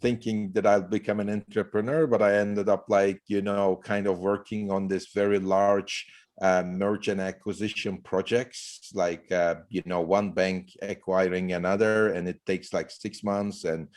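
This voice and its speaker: A man's voice: low voice, little pitch movement, measured pace, darkish tone. A middle-aged man from Turkey, a startup CEO talking as a podcast guest in a loose, rambling way with many fillers. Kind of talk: casual interview talk.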